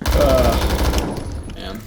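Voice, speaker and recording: A teenage boy chatting casually as co-host, rambling and animated with lots of fillers. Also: mid-range voice, very slow, vocal fry. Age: teens. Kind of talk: casual chat. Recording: echoey room, faint noise.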